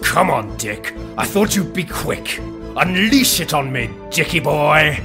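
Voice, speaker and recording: A man playing a gloating rat king villain in a radio pantomime, with sly, theatrical taunts and drawn-out words. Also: fairly high pitch, very animated, creaky, music in the background, exaggerated voice. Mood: taunting. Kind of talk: pantomime radio play acting.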